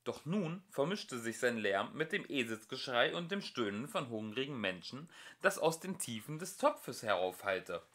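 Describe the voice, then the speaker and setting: A man narrating a storybook as an amateur audiobook reader, in an expressive storytelling manner. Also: medium pitch, normal speed, hardly any pauses. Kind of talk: storybook narration, read aloud.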